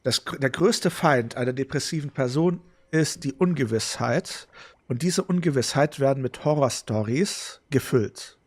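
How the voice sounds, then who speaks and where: Reflective man, mid-pitched. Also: normal speed. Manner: reflective, explaining. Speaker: game streamer. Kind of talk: casual let's play commentary.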